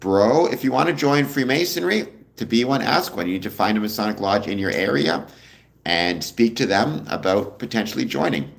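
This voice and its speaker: A young man hosting a casual livestream, answering viewer comments in a relaxed, rambling way with a deep voice. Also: moderate pace, gravelly. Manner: relaxed, rambling, many ums, answers chat questions. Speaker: livestream host.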